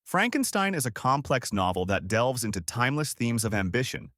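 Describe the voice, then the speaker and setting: A male narrator reads a scripted book summary in a formal, measured way. His mid-pitched voice moves with lively intonation. The sound is very dry, with no audible room. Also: no echo. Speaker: audiobook narrator.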